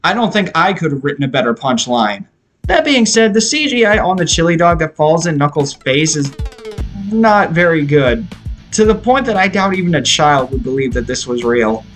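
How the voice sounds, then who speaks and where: A man's voice: fairly high pitch, very animated, normal speed, a bit bright. A man delivering a scripted film review as an earnest, opinionated fan, speaking as a video essayist. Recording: music playing behind the voice. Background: guitar rock music.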